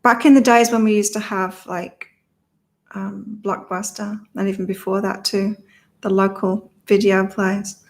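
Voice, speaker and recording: A woman hosts a relaxed online reading session with a slight morning croak in her voice. She chats, laughs often and reads viewers' comments aloud before turning to the cards. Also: mid-range voice, unhurried. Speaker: psychic reader and channel host. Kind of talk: casual livestream chat with card readings.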